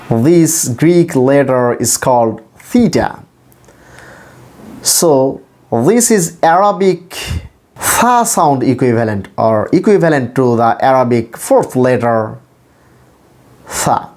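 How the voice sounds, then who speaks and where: A man teaching English pronunciation in a deliberate, repetitive instructional way, sounding out individual sounds. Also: medium pitch, a little mellow. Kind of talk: instructional pronunciation lesson to camera or screen.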